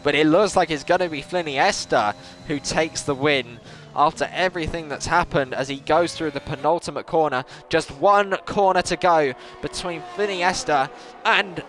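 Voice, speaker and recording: A man giving excitable live play-by-play commentary on an online racing series, rising sharply in pitch at the big moments. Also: moderate pace, hardly any pauses, soft background music. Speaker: lead race commentator.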